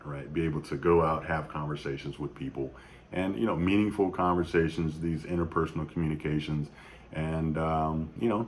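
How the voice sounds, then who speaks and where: A man in his thirties with an extremely low, deep voice talks off the cuff in a personal video tribute. He speaks somberly and haltingly, full of pauses, fillers and restarts. A faint hum of ambience sits under the recording. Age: 30s. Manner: somber, reflective. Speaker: YouTube vlogger.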